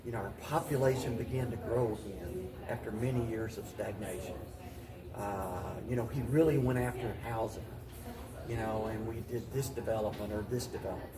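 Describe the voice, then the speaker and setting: An older man giving reflective interview answers as a mayor, haltingly, often repeating and restarting his phrases. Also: on the low side, crowd noise. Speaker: mayor, talk show guest. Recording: roomy, distant mic, voices in background.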